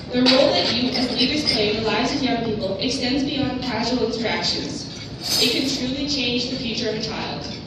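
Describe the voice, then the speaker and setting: A woman's voice: a little high, very noisy. A young woman from the Netherlands, Dutch her first language, giving an earnest prepared speech at a conference luncheon. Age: young adult. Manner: earnest, reading from notes. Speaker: award recipient giving a speech. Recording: distant, echoey hall with loud ambience.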